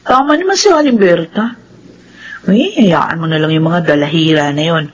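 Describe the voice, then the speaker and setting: A woman playing a hardworking, tomboyish young woman in a radio drama, speaking calmly and earnestly. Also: fairly low pitch, moderate pace, muffled recording. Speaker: lead character. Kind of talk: Filipino radio drama acting.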